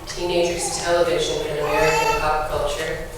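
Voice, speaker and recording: A woman's voice: low voice, flat delivery, normal speed. A woman hosting a live event, giving a spoken introduction for a guest author. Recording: echoey hall, distant mic, loud noise.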